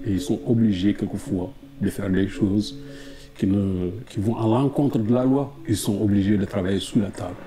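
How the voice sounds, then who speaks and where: Mauritanian guy being interviewed, lowish voice, earnest and outspoken, music behind him. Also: fairly even intonation, normal speed, rough recording. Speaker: migrant advocate being interviewed. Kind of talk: spontaneous interview testimony.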